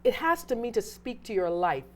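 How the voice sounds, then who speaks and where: A woman's voice: fairly high pitch, big pitch swings, moderate pace. Casting: woman reverend from Trinidad, earnest, reflective, conversational interview guest. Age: adult who arrived as a child over thirty years ago. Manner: reflective, earnest, frequent restarts.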